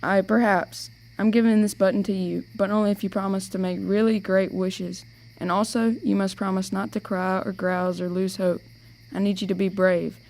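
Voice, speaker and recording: A protective, teasing older sister , acted by a young woman in an amateur radio drama. Her voice is soft-edged and mellow, with a noticeable creak. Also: mid-range voice, little pitch movement, moderate pace, natural voice. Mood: coaxing. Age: teenager or young adult. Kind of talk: amateur radio play acting.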